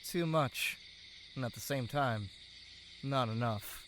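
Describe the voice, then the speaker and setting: A man's voice: mid-range voice, slow, fairly bright tone, a little hiss or hum. A man playing a wry, cryptic forensics analyst in an audio drama, phrasing things dramatically. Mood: intrigued, ominous.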